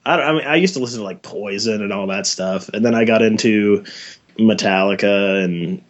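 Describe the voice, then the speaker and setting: American guy from wyoming, lowish voice, band dude chatting in an interview, slangy n halting. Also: lo-fi sound. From Wyoming, United States. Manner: laid-back, slangy, halting. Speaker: band musician being interviewed. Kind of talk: casual chat.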